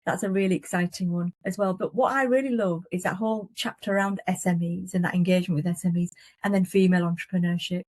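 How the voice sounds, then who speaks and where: A woman's voice: fairly low pitch, fairly even intonation, fast, a little mellow, no echo. A middle-aged woman with a broad Yorkshire accent, giving earnest, emphatic interview answers in short listed phrases. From England. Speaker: guest, business leader.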